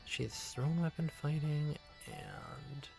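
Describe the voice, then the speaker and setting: A man's voice: a little high, slow pace, gravelly, soft background music. Canlı bir masaüstü oyununu yöneten, hareketli ve geveze bir adam; sesi hemen her erkeğe göre biraz ince ve iniş çıkışları bol. Kuralları yüksek sesle düşünerek anlatıyor, arkada hafif müzik var. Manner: animated, chatty, thinks aloud about rules. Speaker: game master. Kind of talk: narrating a live tabletop game. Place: home streaming setup.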